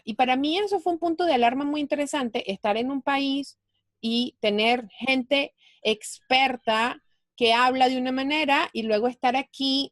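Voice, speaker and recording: A woman with a fairly high voice talks through her ideas in an interview. She explains patiently and thinks aloud as she goes, and her sound is close and dry, with no room around it. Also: no echo. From Venezuela. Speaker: guest, finance speaker. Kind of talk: conversational interview.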